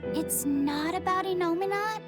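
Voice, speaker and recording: A woman's voice: very high-pitched, a little slow, loud music behind the voice. A woman voicing a young boy pupil in a video game, earnest, shy and careful with his words. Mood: eager. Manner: hesitant, earnest. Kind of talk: video game cutscene acting.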